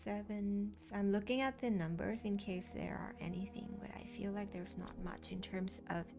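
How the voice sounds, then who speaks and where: A woman's voice: mid-range voice, fairly even intonation, moderate pace, music in the background. A woman with a Thai accent whose first language is Thai, giving a casual card reading and rambling with many fillers and restarts. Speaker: tarot card reader.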